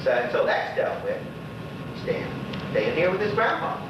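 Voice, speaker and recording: A man's voice: a little high, very animated, normal speed. Lonely old Bermudian widower, played by a male actor: folksy stage gossip, swooping delivery, distant and heavily echoing recording. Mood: musing, gossipy. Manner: rambling, exclaiming, talking to unseen listeners. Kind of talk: stage monologue in dialect. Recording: very echoey stage, distant mic, noisy, dull.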